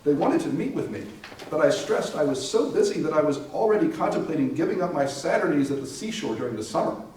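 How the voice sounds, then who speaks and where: A man's voice: on the high side, animated, quick pace, a little mellow, lightly acted. A man playing a defensive, irritated company man in a readers theater monologue. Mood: irritated, dismissive. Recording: echoey hall, far mic, faint noise.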